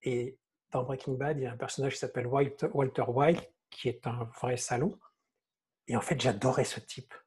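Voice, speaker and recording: A man's voice: medium pitch, steady tone, moderate pace, darkish tone. A man who writes audio fiction, reflecting casually in a live online talk, hesitating and repeating words as he works out his thought.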